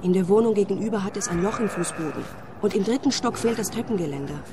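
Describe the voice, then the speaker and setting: An actress playing a calm, matter-of-fact young woman living alone in a haunted house. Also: medium pitch, fast talker, background noise, close to an everyday voice. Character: young woman tenant, calm, unafraid of ghosts.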